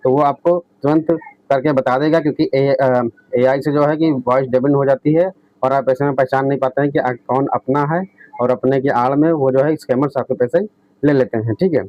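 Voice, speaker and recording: A man's voice: mid-range voice, fairly even intonation, moderate pace, no room sound. A man explaining tech as a video host, reading out points and restating them with frequent checking tags. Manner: repetitive, often adds a checking tag. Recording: dull lo-fi close recording.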